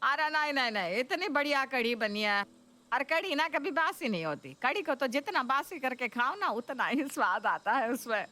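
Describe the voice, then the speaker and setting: A woman voicing an older, food-loving Indian mother-in-law who coaxes and exclaims with drawn-out words in a cartoon story. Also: sits high, lively intonation, bright, forceful tone. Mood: coaxing. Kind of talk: Hindi cartoon story voice acting.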